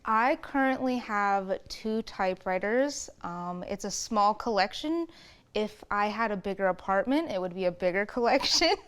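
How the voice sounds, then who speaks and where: A woman's voice: medium pitch, expressive, moderate pace, a bit bright, smooth. A young woman, a new collector, chatting lightly and cheerfully.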